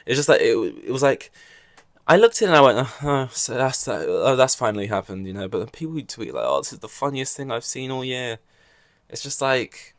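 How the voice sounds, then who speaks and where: A man's voice: mid-range voice, expressive, fairly quick, fairly bright tone, no room sound. A young man hosting a casual solo podcast, rambling with wry asides and a slightly scratchy throat. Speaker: podcast host.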